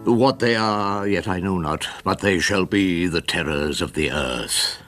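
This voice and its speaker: An older actor with an English accent playing a wry, rumpled ageing barrister in a radio drama, droll and theatrically ruminative. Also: male voice, deep voice, a little slow, a bit bright, soft background music. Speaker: lead character and narrator.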